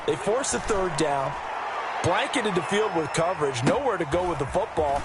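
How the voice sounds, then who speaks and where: A man's voice: high-pitched, lively intonation, moderate pace, over loud music. A man playing a good-humored ex-player football analyst with an American accent, explaining plays in an emphatic, folksy way. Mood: admiring, emphatic. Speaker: color commentator, former player. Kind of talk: conversational sports analysis. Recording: game broadcast with loud background noise.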